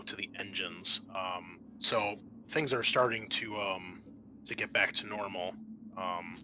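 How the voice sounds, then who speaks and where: Bright, low-pitched man game-mastering. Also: a little hiss or hum. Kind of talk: narrating a tabletop roleplaying game.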